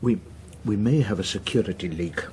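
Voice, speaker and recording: An actor playing an anxious, irritable senior politician in a radio drama, with a British accent and a blunt, grumbling manner. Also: male voice, on the low side, a little fast, warm tone, close to an everyday voice. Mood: grave, uneasy.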